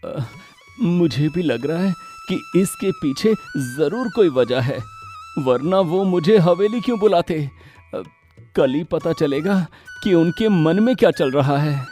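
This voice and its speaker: Slow, troubled poor farmer played by a man. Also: a little high, animated, dark tone, faint music behind. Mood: hesitant, humble. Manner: hesitant, humble. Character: simple, worried, poor middle-aged village farmer, timid. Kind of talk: animated Hindi moral story dialogue.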